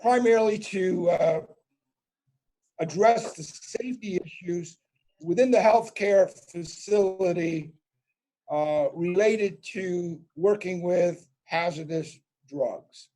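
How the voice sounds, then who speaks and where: High-voiced man explaining on a call. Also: slow pace, warm tone, rough recording. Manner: explanatory, many fillers and restarts. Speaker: presenter. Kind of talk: webinar presentation.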